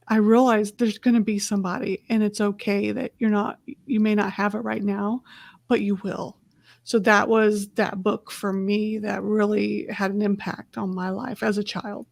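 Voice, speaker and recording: A woman's voice: medium pitch, creaky, dry recording. A woman author on a panel, earnestly reflecting on a book that shaped her childhood. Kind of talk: panel discussion answer.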